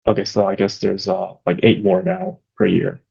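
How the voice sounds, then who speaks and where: A man's voice: low-pitched, level tone, normal speed, vocal fry, warm tone, husky. A man attending an online meeting, casually asking questions. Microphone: online meeting call. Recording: dull lo-fi online call audio.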